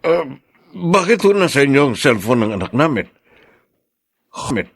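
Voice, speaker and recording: An actor playing a worried middle-aged father in a radio drama, halting and grave in manner. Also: mid-range voice, very animated, slightly rough. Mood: shocked. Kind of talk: Filipino radio drama acting.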